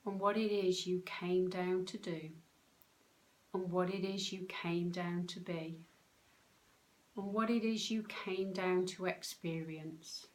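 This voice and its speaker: A woman leading a guided meditation in a calm, soothing, unhurried way, with pauses between short phrases. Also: lowish voice, poor audio. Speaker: meditation guide, soul coach.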